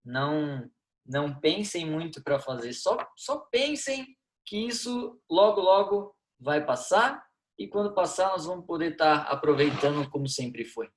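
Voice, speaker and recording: A Brazilian man in his thirties, a guest on a podcast, telling stories in a lively way, acting out dialogue and laughing often. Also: fairly high pitch, a little slow. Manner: animated storyteller, acts out dialogue, laughs a lot. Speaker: football coach, guest. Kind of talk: storytelling in a podcast interview.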